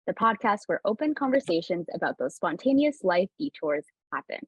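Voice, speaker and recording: A woman hosting a webinar, reading a scripted introduction of the guest in a warm, lively tone over a video call. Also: mid-range voice, brisk, breathy edge, no echo. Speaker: webinar host. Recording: dry, dull lo-fi video call.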